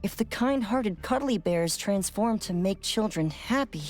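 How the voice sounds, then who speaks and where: A woman's voice: mid-range voice, expressive, measured pace, a little mellow, soft background music, lightly acted. A woman playing a thoughtful, knowledgeable lore expert in a video game, precise and explanatory. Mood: informative.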